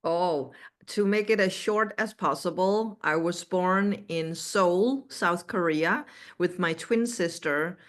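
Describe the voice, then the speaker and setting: A middle-aged woman with a Danish accent speaks as an interview guest. Her pitch is a little low and her pace is very slow, with measured, halting explanations. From Denmark. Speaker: interview guest, animal communicator.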